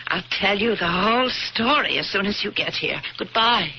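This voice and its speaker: An actress playing a polite, reassuring married nurse's aide in a radio drama. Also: female voice, medium pitch, animated, normal speed, creaky. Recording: muffled, very noisy 1970s radio recording.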